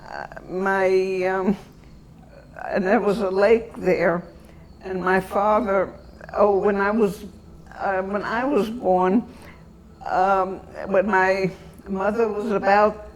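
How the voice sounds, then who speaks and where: An elderly woman from Antwerp whose first language is German, reminiscing in an interview and searching for her words with long pauses. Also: mid-range voice, steady tone, slight background noise, poor audio. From Antwerp, Belgium.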